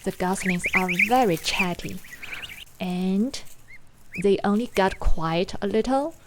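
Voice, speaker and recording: A woman from China with a Chinese accent, gently narrating a watercolor painting tutorial in unhurried explanations. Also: mid-range voice, very noisy. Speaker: watercolor art teacher and farm owner.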